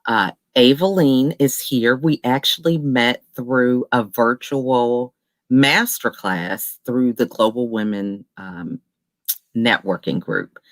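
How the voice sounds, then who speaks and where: A woman's voice: very deep voice, slow pace, no echo. A woman hosts an interview over a video call. She sounds upbeat and chatty and slips in an "uh" or "um" now and then. Her voice comes through dry and close, with no room around it. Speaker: host. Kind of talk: casual interview chat.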